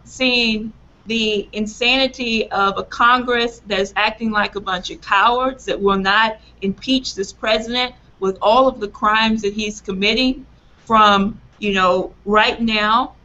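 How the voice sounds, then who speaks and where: A woman in her forties, raspy from allergies, introducing a political talk in an earnest, emphatic way. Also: fairly high pitch, bright, forceful tone. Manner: earnest, emphatic, laughs at own slips. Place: small office room, indoors. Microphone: webcam on a video call. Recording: dull lo-fi webcam sound.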